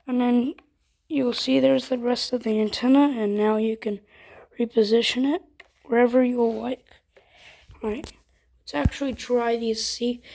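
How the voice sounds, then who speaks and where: A woman's voice: on the high side, creaky, darkish tone. A woman reviewing products in a casual demonstration, explaining things informally step by step.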